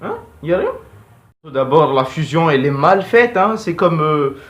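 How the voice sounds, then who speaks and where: A man's voice: on the high side, animated, normal speed, echoey room, background noise. A young man giving casual, slangy video commentary in an informal, digressive way. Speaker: video host.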